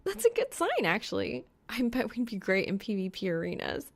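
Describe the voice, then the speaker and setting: A woman voicing a brash, excitable young female gamer and cosplayer with a cheeky, bubbly manner, in an audiobook style. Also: moderate pace, creaky, exaggerated voice. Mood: cheeky, upbeat. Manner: screeching and squeaking outbursts, bubbly.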